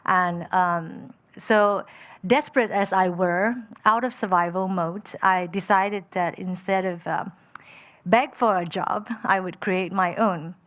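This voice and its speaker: A woman's voice: lowish voice, slow. Woman telling her founder story. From Malaysia. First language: Chinese. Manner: frequent fillers, lively and determined. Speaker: entrepreneur and panel speaker. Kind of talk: personal story told on a panel.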